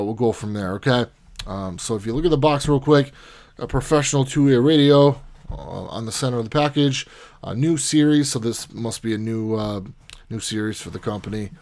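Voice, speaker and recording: A man hosting a casual unboxing video, talking informally and explaining things step by step. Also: mid-range voice. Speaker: video host, product unboxer.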